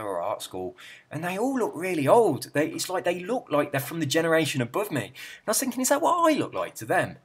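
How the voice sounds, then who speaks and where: A middle-aged man chatting casually, rambling and easily sidetracked as he browses a website, with a soft, relaxed way of speaking. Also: medium pitch, breathy edge. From England. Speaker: YouTuber and hypnotist.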